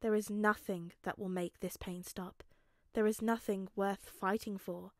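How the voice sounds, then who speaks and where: A woman reading fiction aloud as narrator, expressive and dramatic, with emphatic pauses. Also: medium pitch, dry recording, studio quality. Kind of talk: expressive scripted story narration.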